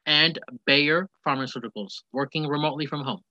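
A man's voice: a little high, fairly quick, bright, forceful tone, dry recording, highs cut off. A man in his forties, a guest speaking on the phone, telling his story in a chatty, rambling way. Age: 40s. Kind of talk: casual phone interview.

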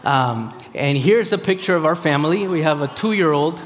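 Male guest preacher, 30s, fairly high voice, halting delivery with fillers, reverberant hall. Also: lively intonation, a little hiss or hum. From Costa Rica. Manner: halting, many fillers and restarts. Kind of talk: sermon with personal introduction. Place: large hall.

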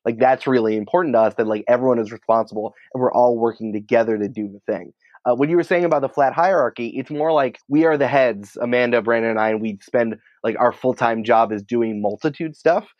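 A man's voice: mid-range voice, quick pace, dry recording, highs cut off. A man speaking as a guest in a casual interview, explaining things in an informal, colloquial way. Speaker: podcast company creative head, interview guest.

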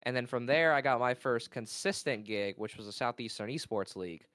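A young man from Georgia, a college esports player and caster, talking in a casual interview with a naturally loud voice, rambling excitedly and losing his thread. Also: medium pitch, brisk, no echo, clean studio sound. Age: young adult.

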